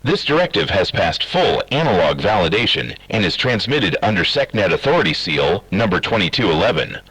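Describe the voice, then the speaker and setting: A low, husky male voice reads a formal emergency alert with measured, official delivery. His pitch rises and falls widely. The recording is dry and close. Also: fairly bright tone, no room sound, poor audio. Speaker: emergency broadcast announcer. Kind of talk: scripted emergency alert reading.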